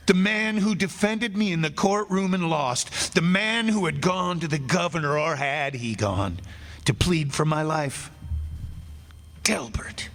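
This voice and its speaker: An actor playing an anguished, wronged condemned man's soul, narrating a radio play in a dramatic, halting, theatrical way. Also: on the high side, creaky, fairly bright tone, full character voice. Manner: dramatic, halting, repeating words in dread. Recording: noisy live stage recording.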